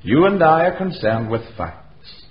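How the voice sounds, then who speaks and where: An actor playing a confident Scottish police inspector in a radio drama, blunt and folksy. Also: male voice, fairly high pitch, sing-song, measured pace. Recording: echoey, dull, hissy radio recording.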